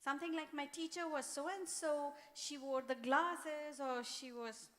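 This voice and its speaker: A woman's voice: high voice, steady tone, unhurried, fairly bright tone. A woman in the audience asking the speaker a thoughtful, careful question. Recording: echoey event hall.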